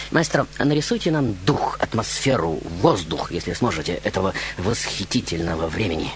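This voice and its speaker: A man's voice: on the low side, fairly bright tone, a little hiss or hum. A man playing a proud, flamboyant baroque composer recounting his life in a grandiose, ironic radio monologue. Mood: lofty musing. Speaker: radio show host. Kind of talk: theatrical first-person radio monologue. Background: music behind the voice.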